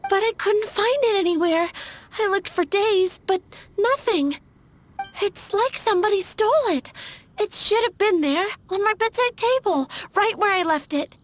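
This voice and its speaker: An actress playing an anxious, lovesick young flower seller who stammers when flustered. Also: female voice, extremely high pitch, lively intonation, normal speed, a little hiss or hum. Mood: panicked. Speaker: flower shop owner. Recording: game cutscene with faint music behind.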